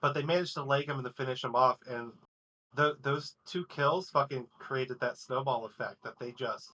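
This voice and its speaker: Bright, chatty esports co-caster man. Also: mid-range voice, normal speed, low-quality recording. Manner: chatty, laughing, casual swearing. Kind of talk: casual commentary.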